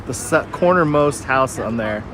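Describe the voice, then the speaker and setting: A man's voice: mid-range voice, expressive, measured pace, music in the background. A man in casual conversation as a spectator at a soccer game. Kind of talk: casual chat. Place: outdoors beside a sports field. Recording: outdoor field with crowd and traffic noise. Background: crowd and urban outdoor sounds.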